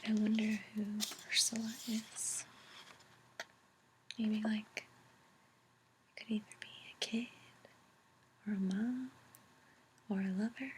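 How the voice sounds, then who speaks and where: A woman speaking softly in a breathy near-whisper, gently and unhurriedly, as an ASMR host reading and chatting. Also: mid-range voice, fairly even intonation, slow pace, pauses often, slight background noise, poor audio. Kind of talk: soft-spoken ASMR reading and chat. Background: page turning, tapping, clicks.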